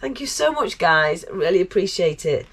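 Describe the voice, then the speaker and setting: A woman's voice: fairly low pitch, very animated, moderate pace, fairly bright tone. Chatty woman with a cold. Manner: chatty, self-mocking, laughs often. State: bunged up with a cold. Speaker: vlogger. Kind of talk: casual vlog chat. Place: aboard and around a narrowboat on a canal.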